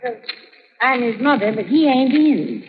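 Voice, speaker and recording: An actress playing a distressed, suspicious mother, plaintive and halting, in a 1930s radio drama. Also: a little high, animated, husky, heavily acted. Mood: distressed, wary. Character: distressed, guarded working-class mother of a grown son. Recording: dull, noisy 1930s radio recording.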